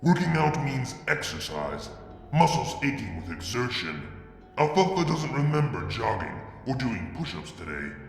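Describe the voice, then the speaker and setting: A man reading a fanfic aloud in a soft, halting first-person narration. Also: medium pitch, very animated, gravelly, echoey room, big-room sound, soft background music. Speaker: fanfic reader. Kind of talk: dramatic story reading.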